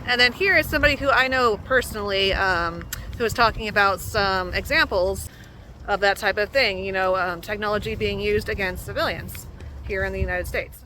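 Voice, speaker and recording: A woman's voice: mid-range voice, fairly quick, bright, forceful tone, slight background noise, poor audio. A woman hosting an online show, giving casual news commentary to her viewers.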